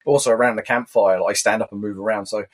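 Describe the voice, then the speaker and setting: A man co-hosting a podcast at home who talks loud and projects like an animated storyteller. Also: fairly low pitch, rapid-fire. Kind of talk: casual chat. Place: living room sofa at home. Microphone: shared desk microphone.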